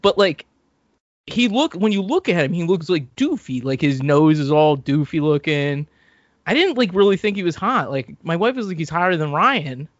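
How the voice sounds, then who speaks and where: A man's voice: a little high, sing-song, moderate pace, no echo, muffled recording. A man hosting a podcast, recapping TV in casual, rambling, profane banter. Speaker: podcast host.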